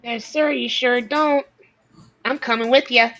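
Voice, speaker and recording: A woman's voice: a little high, fairly even intonation, a little slow, fairly bright tone, lo-fi sound. A woman chatting on a group call, eager and agreeable as she joins in.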